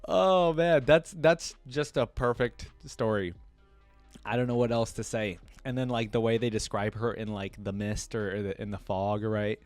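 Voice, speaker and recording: A man's voice: fairly low pitch, fairly even intonation, normal speed, dry recording, soft background music. A man hosting a reaction video, giving informal, enthusiastic fan commentary in a casual chatty style. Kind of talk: casual fan commentary alternating with story read-aloud.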